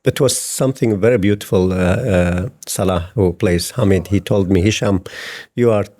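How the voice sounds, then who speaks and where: Low, dark-voiced hesitant man. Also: moderate pace. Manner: hesitant, many fillers and restarts. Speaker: film director. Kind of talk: interview answers.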